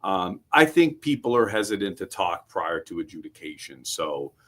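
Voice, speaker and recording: A man with an American accent giving measured, explanatory legal commentary as co-host of a casual talk show. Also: low voice, sing-song, fairly bright tone. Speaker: co-host and prosecutor.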